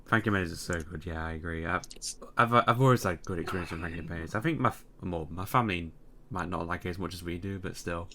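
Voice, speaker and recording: A man chatting casually on a tier-list panel, soft-spoken and agreeable. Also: low-pitched, fast, a little hiss or hum. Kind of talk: casual chat.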